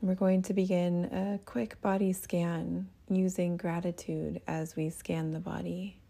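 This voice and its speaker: A woman leading a guided meditation as a teacher, speaking in a gentle, soothing manner with breathy phrase endings. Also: lowish voice, level tone, unhurried.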